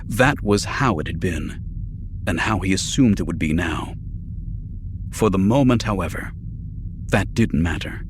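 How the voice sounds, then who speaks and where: A man reading dramatic science fiction narration for an audiobook in a measured, serious, polished way. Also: sits low, expressive, background noise.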